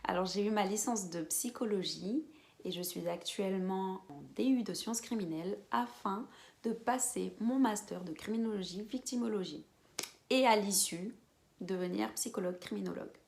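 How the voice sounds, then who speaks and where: A woman in her twenties from French Guiana, chatting casually to camera as a beauty vlogger, with quiet whispered asides. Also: medium pitch, moderate pace, vocal fry. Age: 20s. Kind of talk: casual vlog chat to camera.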